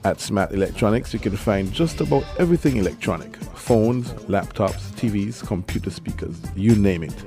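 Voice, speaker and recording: Male ad voice, lowish pitch, scripted sales copy over prominent music. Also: lively intonation, moderate pace, vocal fry, hardly any pauses. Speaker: advertisement voice actor. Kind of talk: scripted radio ad. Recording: loud music bed.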